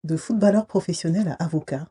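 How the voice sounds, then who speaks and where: A woman's voice: on the low side, fairly quick, mellow, slightly rough. A woman hosting a podcast interview, chatty and searching for her words as she asks her questions. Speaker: podcast host.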